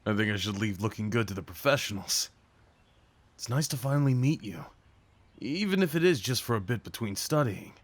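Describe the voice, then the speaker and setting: A man's voice: on the low side, lively intonation, fairly quick, no echo. A young man acting a shy, sweet, apologetic date in an ASMR roleplay, talking hesitantly to the listener. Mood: shy, nervous. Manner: hesitant, apologetic, rambling, sighs and nervous chuckles.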